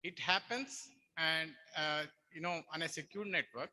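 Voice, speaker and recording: A man's voice: a little high, measured pace, sharp tone. A man with an Indian accent giving an explanatory product presentation and answering questions from an audience.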